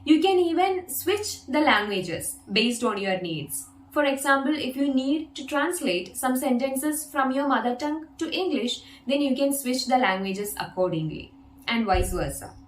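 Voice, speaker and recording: Woman, first language Malayalam, English lesson, patient step-by-step demo, slightly high voice, echoey room. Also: expressive, unhurried. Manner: patient, demonstrating an app step by step. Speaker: English teacher. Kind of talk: video lesson.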